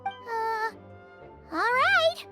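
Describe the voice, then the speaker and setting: A woman voicing a tiny, curious floating fairy companion in a video game, with an excitable, questioning way of talking. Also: very high voice, drawn-out words, over music, exaggerated voice. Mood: puzzled.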